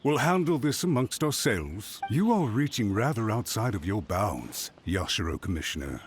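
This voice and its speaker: An actor playing a haughty, scheming government official in a video game, with a pompous, theatrical and emphatic delivery. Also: male voice, fairly low pitch, very animated, normal speed, faint music behind. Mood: defiant scheming. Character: haughty, scheming male government official, indignantly self-righteous.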